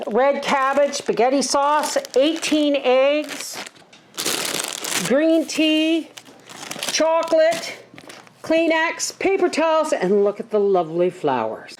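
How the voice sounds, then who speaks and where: Middle-aged woman, a bit high, under a head cold, rambling homestead vlogger. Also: slight background noise. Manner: earnest, chatty, rambling. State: head cold, scratchy throat. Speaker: homesteading channel host. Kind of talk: casual talk to camera.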